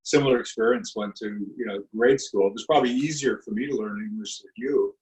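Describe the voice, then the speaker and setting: A man's voice: medium pitch, fast, a little mellow, rough recording. A man from the Netherlands hosting a casual interview, chatting in a friendly way and often affirming the guest. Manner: friendly, with many "you know" fillers and frequent affirmations. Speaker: podcast host.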